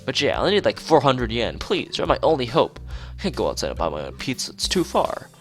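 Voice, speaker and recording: A man acts out a young conspiracy-obsessed schoolboy from a visual novel. He rants and pleads with urgent melodrama, his pitch leaping about over a slightly gravelly edge. Light music plays behind him. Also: huge pitch swings, fairly quick. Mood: pleading. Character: paranoid, ranting young male conspiracy theorist schoolboy. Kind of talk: reading visual novel dialogue aloud.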